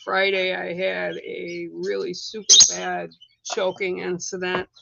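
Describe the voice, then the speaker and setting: A live-stream host with a rough, hoarse voice, chatting casually with viewers and greeting them by name between coughs. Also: medium pitch, monotone, slow, highs cut off, heavy background noise.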